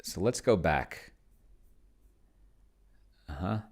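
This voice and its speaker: Male, very low creaky voice, rambling solo gamer narrating his screen and dictating what he types, keyboard clicking. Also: lively intonation, a little mellow. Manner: rambling, muttering to himself, slowly reading aloud as he types. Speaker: solo tabletop role-player demonstrating a game. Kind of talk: casual think-aloud screen commentary.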